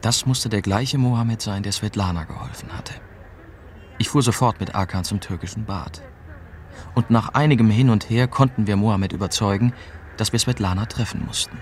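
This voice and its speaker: Guy playing young war reporter telling his story, low voice, sober radio drama narration. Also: normal speed, a little hiss or hum, lightly acted. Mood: matter-of-fact. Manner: sober, literary first-person telling. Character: young German newspaper correspondent narrating his dangerous investigation.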